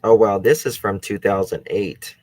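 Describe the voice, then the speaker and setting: A man's voice: lowish voice, steady tone, normal speed, a little mellow, no room sound. A man hosting a true crime channel, thinking aloud in casual talk as he looks things up during a case discussion. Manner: thinking aloud while searching online.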